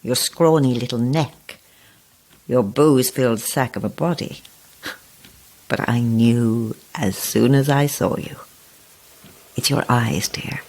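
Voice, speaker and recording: Very deep-voiced, sinister ancient old woman. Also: darkish tone, full character voice. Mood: cold, threatening. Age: older. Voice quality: husky, gravelly, sometimes hissing whisper. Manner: slow, relishing, theatrical, condescending endearments. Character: sinister ancient child-snatching old woman, sly and sweetly menacing. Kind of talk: horror audio drama acting.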